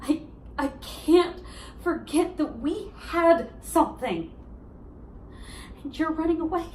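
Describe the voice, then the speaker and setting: A woman performing an amateur theater monologue as a heartbroken high school girl, insistent and emotional, with deliberate dramatic pauses. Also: darkish tone, echoey room, a little hiss or hum.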